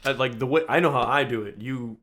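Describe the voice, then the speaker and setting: A man with an average-pitched voice chats as a podcast co-host. His delivery is informal and conversational, with a wry, joking way of putting things. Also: a little fast, fairly bright tone. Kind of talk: casual chat.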